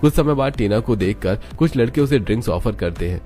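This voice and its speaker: Male story narrator, lowish pitch, dark relaxed timbre, scripted storytelling. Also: a little fast, faint music behind.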